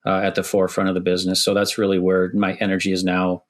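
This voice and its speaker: Low-voiced Midwestern man, thoughtful guest. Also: monotone, quick pace. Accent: Midwestern American, softened by years in Canada. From Wisconsin, USA. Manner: thoughtful, many restarts and fillers. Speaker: construction executive guest. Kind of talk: podcast interview answers.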